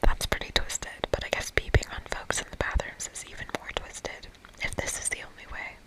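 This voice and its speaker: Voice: brisk, bright, forceful tone, lightly acted, poor audio. An ASMR reader voicing a sassy, blunt young female magical camper from a video game, sarcastic and casual, in a whisper. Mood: reluctant.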